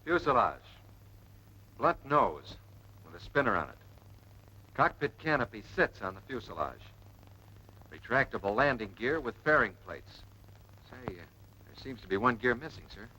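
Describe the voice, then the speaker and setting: An actor playing an eager, respectful young lieutenant reciting in clipped phrases in a 1940s military training film. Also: male voice, medium pitch, sing-song, moderate pace, long pauses, sharp tone, breathy edge, exaggerated voice. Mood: earnest reciting. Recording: faintly noisy vintage film soundtrack.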